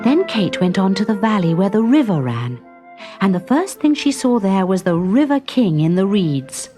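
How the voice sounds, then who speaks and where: A woman narrating a children's story in a clear, deliberate, expressive manner. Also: medium pitch, music in the background.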